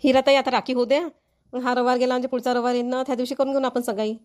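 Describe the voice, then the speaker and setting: A woman's voice: sits high, flat delivery, racing, a little mellow, natural voice. A woman voicing an eager, practical aunt in a cartoon family comedy, chattering away with a Varhadi Marathi accent.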